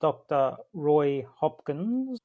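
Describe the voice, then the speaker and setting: A man's voice: fairly high pitch, very animated, extremely slow, phone-quality sound. A man co-hosting a podcast in casual chat, friendly and easily impressed, with some hesitations. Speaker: podcast co-host.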